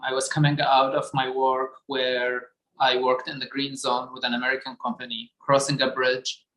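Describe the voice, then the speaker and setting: A man, earnestly recounting his own life story in a measured way. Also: medium pitch, fairly bright tone. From Iraq. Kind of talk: personal testimony. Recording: roomy echo.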